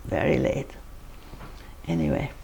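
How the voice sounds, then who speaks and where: Older Norwegian woman with a gravelly voice. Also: extremely low pitch, animated, measured pace, warm tone, slight background noise, rough recording. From Norway. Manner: rambling storytelling with frequent laughs and restarts. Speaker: interviewee. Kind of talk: reminiscing in a personal interview.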